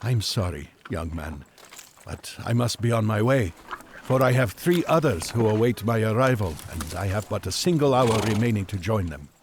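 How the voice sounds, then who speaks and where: An earnest Magian pilgrim from a biblical audio drama. His low voice moves through very expressive rises and falls, with formal, solemn phrasing. There is faint noise behind him. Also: moderate pace. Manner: solemn, formal, old-fashioned speech. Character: earnest, devout Magian traveler on an urgent quest.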